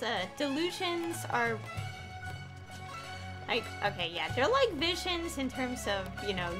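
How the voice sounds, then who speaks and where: A woman chatting casually over her game stream, rambling and filling gaps with "uh" and "like". She talks slowly, and game music plays clearly under her voice. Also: mid-range voice. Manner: rambling, with many fillers. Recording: game music playing behind.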